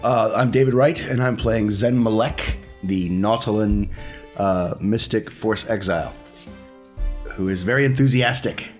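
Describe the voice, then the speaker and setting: Man, lowish pitch, casual joking tabletop player, faint music behind. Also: unhurried.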